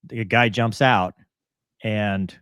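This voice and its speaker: A man hosting a casual aviation discussion, speaking in a halting, earnest, conversational way. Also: fairly low pitch, steady tone, fairly bright tone, clear, resonant tone, dry recording, clean studio sound. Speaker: show host and commentator.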